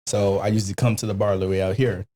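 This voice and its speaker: A man's voice: on the low side, level tone, fast, dark tone. A man hosting a podcast in casual chat, telling stories informally with plenty of slang and swearing. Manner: profane, slangy, informal storytelling. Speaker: podcast host.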